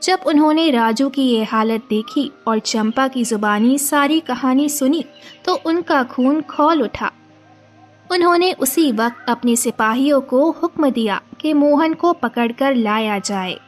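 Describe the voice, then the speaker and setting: A woman's voice: high-pitched, a little slow, soft background music. A woman narrating a moral tale as a scripted storyteller.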